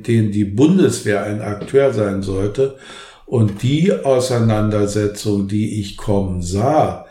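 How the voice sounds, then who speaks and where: An older man from Germany in his seventies or above, speaking reflectively and haltingly in an interview. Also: lowish voice, mellow. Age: older, over 70. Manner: halting, searching for words. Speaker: interview guest. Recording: roomy, echoing interview sound.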